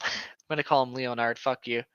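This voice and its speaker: Bright-voiced sarcastic man, slightly muffled recording. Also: mid-range voice, steady tone, fairly quick, smooth, dry recording. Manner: sarcastic, irreverent, swearing. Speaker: co-host. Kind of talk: casual comedic commentary and read-aloud of internet horror stories.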